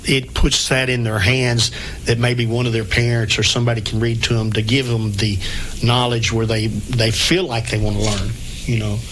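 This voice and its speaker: A man hosts a local radio talk show. He chats in a folksy, rambling way with plain homespun grammar. His voice sits at a mid pitch. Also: level tone, normal speed, fairly bright tone, rough recording. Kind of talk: casual chat interview.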